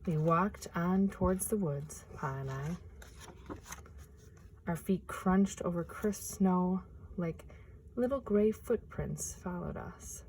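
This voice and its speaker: A woman reads a picture book aloud outdoors in a forest, her tone hushed and expressive. Her voice is mellow and sits a little low, and at times she sinks to a whisper. A faint hiss of noise sits under her words. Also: takes it slowly, poor audio. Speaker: nature preserve storyteller.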